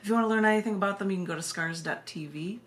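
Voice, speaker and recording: A woman's voice: mid-range voice, racing, a bit bright. An American woman hosting a live online poetry reading, sharing poems and casual asides with her viewers. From United States. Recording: noisy live video stream.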